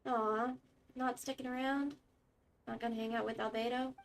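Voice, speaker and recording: A woman streaming a game, reacting casually in a quiet, affectionate manner. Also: fairly high pitch, flat delivery, normal speed, vocal fry.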